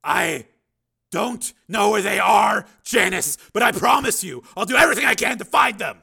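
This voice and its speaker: A hard-edged man who has become a machine, raising his voice sharply and pressing every point, as a male reader puts him on. The tone is tense and high, with a rough gravelly grain. Rendered as a dramatized audiobook. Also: lively intonation, moderate pace, exaggerated voice. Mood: angry. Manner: forceful, shouting, insistent.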